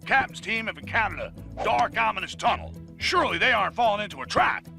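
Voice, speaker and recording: An actor playing an excitable, worried commentator narrating a video game story in an urgent, chatty way. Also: male voice, sits high, brisk, creaky, faint music behind, exaggerated voice. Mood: anxious narrating. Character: excitable worried male commentator following the heroes.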